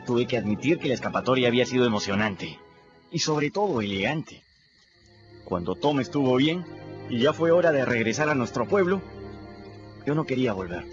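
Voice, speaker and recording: A man's voice: medium pitch, expressive, moderate pace, pauses often, lightly acted. An actor playing a plain-spoken, restless runaway teenage boy who narrates his own story in a casual, folksy way, in a radio drama. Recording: muffled radio play with music.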